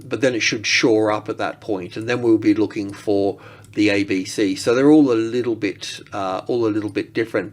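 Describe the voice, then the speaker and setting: A man giving casual trading commentary as a market analyst, thinking aloud in a rambling way and often rephrasing himself. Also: on the low side.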